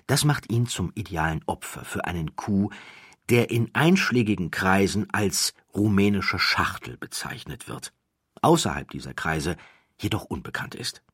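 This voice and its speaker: A man reading a literary audiobook as a scripted narrator, with measured, deliberate phrasing and dramatic pauses. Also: low-pitched, fairly bright tone, slightly rough, dry recording, clean studio sound.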